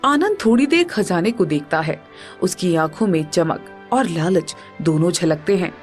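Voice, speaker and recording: Lively female story narrator. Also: fairly low pitch, normal speed, faint music behind. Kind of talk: scripted moral story narration.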